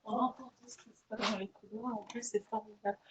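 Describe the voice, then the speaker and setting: A woman's voice: a little high, steady tone, fast, heavy background noise. A woman handling a video conference as a colleague, talking casually and thinking aloud while sorting out technical matters. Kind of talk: casual troubleshooting talk. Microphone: video conference microphone. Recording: dull, lo-fi video call audio.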